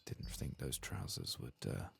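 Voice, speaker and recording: Super low gravelly guy murmuring asmr gaming commentary, drifts into whispers, bit of background noise. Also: very animated, measured pace. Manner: murmuring, drifting between soft speech and whisper. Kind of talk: soft-spoken and whispered ASMR game playthrough.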